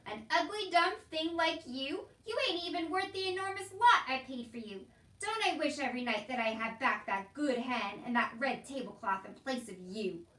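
A woman voicing a loud, brutish, mocking middle-aged man who barks scornfully in rustic speech, in a storybook reading. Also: on the high side, animated, normal speed, reverberant, distant mic.

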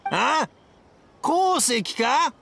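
A man voicing a good-natured, hard-of-hearing old fireworks craftsman with a Kansai accent and a gravelly, folksy delivery in a Japanese game dub. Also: very high-pitched, big pitch swings, very slow, very noisy, heavily acted. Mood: puzzled. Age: older. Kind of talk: Japanese anime game dubbing.

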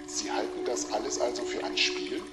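Probing interviewer, echoey. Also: male voice, medium pitch, wildly swooping pitch, normal speed. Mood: critical, probing. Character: probing, critical male television interviewer. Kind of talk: German radio drama, mock television interview. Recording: echoey, music and dripping water behind.

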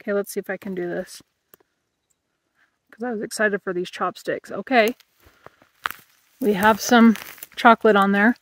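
A woman's voice: medium pitch, moderate pace, long pauses, no room sound. A woman in her thirties reviewing camping gear in a casual vlog, musing aloud while she eats, recorded outdoors in a meadow.

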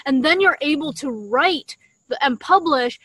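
A young woman with a high-pitched voice chats as a podcast co-host. She talks enthusiastically in a casual, conversational way. The sound is dry, picked up by a desktop microphone. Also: animated, a little slow, a bit bright, no room sound, poor audio. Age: young adult. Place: outdoors in a leafy garden. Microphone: desktop condenser mic shared between two.

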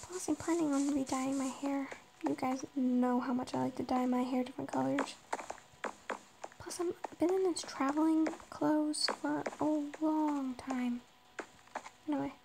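A high-voiced woman with a raspy, gravelly edge narrates her game slowly and hesitantly, filling gaps with little pauses. She talks to herself as she plays. The recording carries a clear layer of background noise. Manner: slow, hesitant, lots of ums, talks to herself while building. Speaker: Minecraft roleplay player. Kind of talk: casual gameplay narration. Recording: noisy background.

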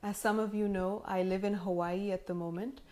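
Woman in her thirties, heavily pregnant, sincere and measured, gently humorous, recorded at home. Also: medium pitch, steady tone. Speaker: prize winner giving acceptance speech. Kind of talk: recorded acceptance speech. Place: living room at home, indoors. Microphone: camera in front, seated framing.

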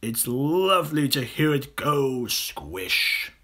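A reader voicing a huge, greedy male crocodile in a children's storybook, slow and sly, drawing out gloating words. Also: mid-range voice, sing-song, sharp tone, husky, pitched above the performer's own voice, heavily acted. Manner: slow, drawn-out, relishing words. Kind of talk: theatrical children's storybook character voice.

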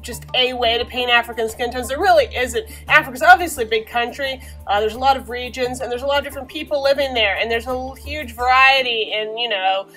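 A young woman, slightly hoarse from a cold, chatting casually through a painting tutorial in a small bedroom, recorded on the camera mic with many fillers. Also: on the high side, a little fast, few pauses, a bit bright, faint music behind, poor audio.

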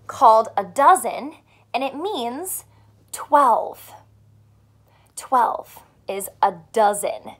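Young woman, children's teacher, high-pitched, unhurried pace, animated questioning, read-aloud lesson style. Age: young adult. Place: small furnished room indoors.

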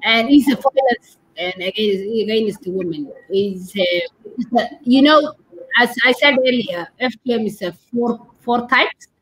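A woman in her thirties with a Somali accent, answering as an interview guest on a video call, haltingly repeating and rephrasing. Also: fairly high pitch, animated, a bit bright, rough recording. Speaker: guest doctor and women's rights activist. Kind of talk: interview answers on a live stream. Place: curtained room indoors, on a video call. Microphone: video call device mic.

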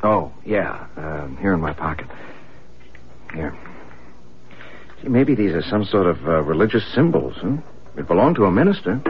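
Easygoing young husband, animated, low-pitched. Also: male voice, moderate pace, long pauses. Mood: musing. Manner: casual, musing, hesitant. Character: easygoing young husband and father, handy, curious. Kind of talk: radio drama acting. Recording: dull lo-fi radio broadcast, faint noise.

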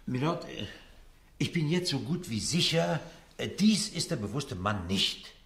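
A man's voice: mid-range voice, very animated, creaky, fairly bright tone, exaggerated voice. Hesitant, nervous male witness. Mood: nervous, evasive. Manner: hesitant, halting. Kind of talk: radio drama acting.

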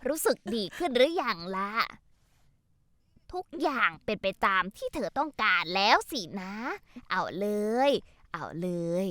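Bitter older mother-in-law in a dubbed drama: slow, sarcastic grumbling with a swooping voice, dry studio sound. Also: on the high side, sing-song, a bit bright, dry recording. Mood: sulky sarcastic.

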